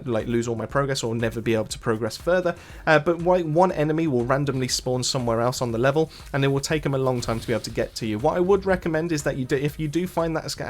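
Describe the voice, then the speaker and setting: A man hosting a gaming channel, narrating a casual walkthrough in a wordy way, often rephrasing himself as he explains. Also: medium pitch.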